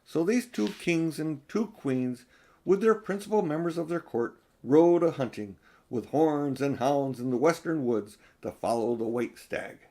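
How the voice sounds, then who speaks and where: Older guy reading a storybook out loud, takes his time, stresses words, normal pitch. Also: animated, a little slow. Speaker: audiobook reader. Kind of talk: storybook read-aloud with casual asides.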